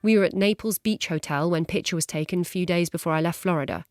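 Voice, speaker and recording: A woman's voice: lowish voice, fast talker, dry recording, studio quality, soft background music, lightly acted. An actress voicing emails from a woman who insists she is a man's long-term partner. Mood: insistent.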